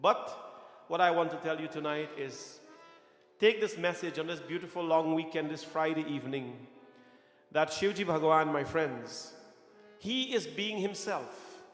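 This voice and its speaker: A middle-aged Guyanese-accented man delivers an earnest sermon, repeating key phrases and tossing questions to the congregation. His voice sits a bit high for a man. It rings through a reverberant temple hall. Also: unhurried, a bit bright, soft background music. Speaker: temple pastor.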